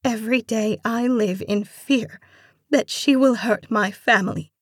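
A woman voicing a meek, polite but resolute immigrant woman in an audiobook story, soft-spoken and pleading. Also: medium pitch, takes it slowly, husky, no room sound, studio quality, pitched above the performer's own voice. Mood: tearful.

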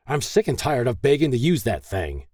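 A man's voice: medium pitch, very animated, normal speed, no room sound, pitched above the performer's own voice. Cruel, volatile husband, played by a male narrator, audiobook drama. Raised, growling delivery, very dry recording. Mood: angry, menacing. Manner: shouting, growling, contemptuous. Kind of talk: audiobook character acting.

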